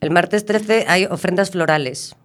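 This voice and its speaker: A woman's voice: on the low side, a little slow, a little hiss or hum. A woman hosting a radio show, reading event listings in an easygoing, laughing way. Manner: easygoing, laughing as she reads.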